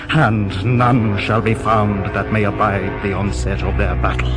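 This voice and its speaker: Solemn male visionary, deep register, measured incantatory delivery, radio drama with music beneath. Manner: grave, incantatory, slowly intoned. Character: solemn man recounting an apocalyptic vision of divine wrath.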